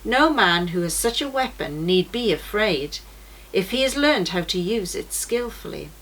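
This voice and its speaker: A woman's voice: mid-range voice, lively intonation, measured pace, a bit bright, low-quality recording. A woman voicing a brave, earnest male pilgrim swordsman in a children's storybook. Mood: earnest, resolute. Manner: earnest storybook narration of his fight. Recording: noticeable background noise.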